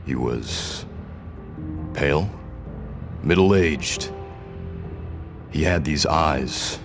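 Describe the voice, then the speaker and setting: An actor playing a captive rebel captain in a science fiction audio drama, a man who is guarded, halting and uncertain beneath his defiance. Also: rumbling low, music in the background, heavily acted. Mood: halting, reflective. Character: defiant but confused male rebel captain, held prisoner.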